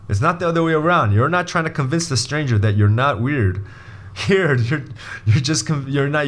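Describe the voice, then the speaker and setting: A young man from Brazil giving a casual video lesson as an MBA admissions coach, animated and jokey, often restarting his sentences. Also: mid-range voice.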